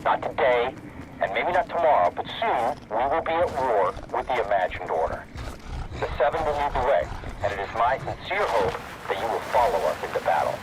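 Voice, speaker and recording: An actor playing an earnest, grave but hopeful scientist who leads a resistance in a video game, speaking in a solemn, measured, heartfelt way. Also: male voice, mid-range voice, very animated, noisy background, lightly acted. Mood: solemn, stirring. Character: earnest wartime scientist leader rallying allies, grave and hopeful. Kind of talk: scripted game story monologue.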